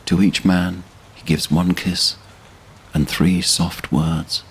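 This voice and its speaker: A man narrating a literary fantasy audio drama in a solemn, measured, dramatic way. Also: low-pitched, level tone, slightly rough, rough recording.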